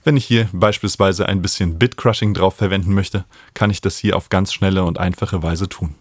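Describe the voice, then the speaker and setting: A man's voice: deep voice, fairly even intonation, moderate pace, dry recording. A man in his thirties explaining step by step as a tutor, recorded close on a studio microphone. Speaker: host and music production tutor. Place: small home studio room, indoors. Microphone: studio microphone close on a stand.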